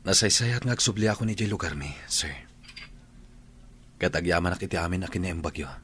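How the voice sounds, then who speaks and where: A man with an Ilocano Filipino accent acting a humble, apologetic young employee in a radio drama, with a husky voice. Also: low voice, expressive, a little fast, a bit bright, slight background noise. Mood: resigned.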